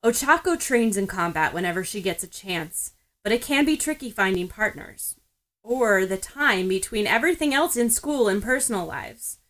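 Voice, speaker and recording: A woman's voice: medium pitch, animated, moderate pace, a bit bright, poor audio. Female narrator, mid-range voice, reading prose fiction for a podfic. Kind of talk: scripted story narration.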